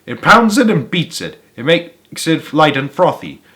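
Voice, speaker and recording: A man's voice: a little high, big pitch swings, measured pace, sharp tone, voice pushed up, heavily acted. Eccentric chocolate factory boss for a storybook, played by a male reader: boastful, gushing, exclamatory. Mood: gushing delight. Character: excitable, boastful chocolate factory owner, eccentric grown man. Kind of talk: storybook character voice.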